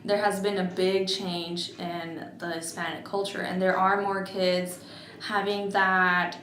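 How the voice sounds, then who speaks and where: A woman in her twenties talks slowly and deliberately, pausing often as she gathers her thoughts. She is recorded in an echoey room. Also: on the low side, little pitch movement, a bit bright. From Mexico, raised in Oregon. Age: 20s. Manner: slow and thoughtful, with frequent pauses and fillers. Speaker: interviewee. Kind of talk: oral history interview.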